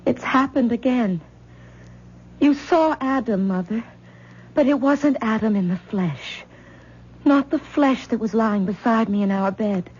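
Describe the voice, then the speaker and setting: An actress playing an anxious young wife who pleads urgently, heard in a dull 1970s radio drama broadcast. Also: female voice, fairly high pitch, a little slow, long pauses, a little mellow, breathy edge. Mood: uneasy.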